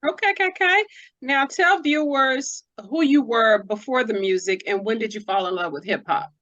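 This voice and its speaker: A woman's voice: fairly high pitch, normal speed, a bit bright, lo-fi sound. A woman hosting a conversational interview, putting questions to her guest and explaining what she means. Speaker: interviewer, host.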